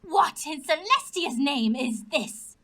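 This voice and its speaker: A woman's voice: high voice, expressive, slow, heavily acted. A woman playing an imperious, hot-tempered pony opera diva in an audio drama. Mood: indignant, furious. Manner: imperious, sharp-tongued.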